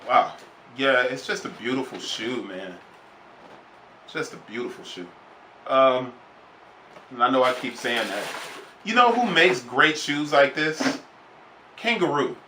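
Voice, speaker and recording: A man's voice: mid-range voice, expressive, a little slow, pauses often, pushed and bright, reverberant, a little hiss or hum, rough recording. A man casually reviewing a sneaker on camera, rambling and enthusiastic, repeating himself as he goes. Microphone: camera then phone.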